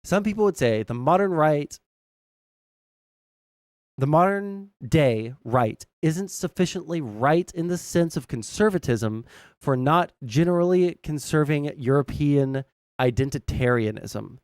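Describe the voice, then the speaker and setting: A man's voice: a little high, animated, unhurried, a little mellow, smooth, no echo, studio quality. A young American man giving casual, rambling, blunt political commentary as a livestream host, swearing freely. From southern United States.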